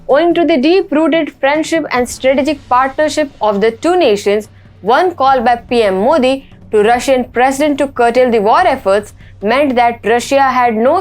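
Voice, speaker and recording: A woman with an Indian accent presenting scripted news reports in a formal manner. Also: fairly high pitch. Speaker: news host.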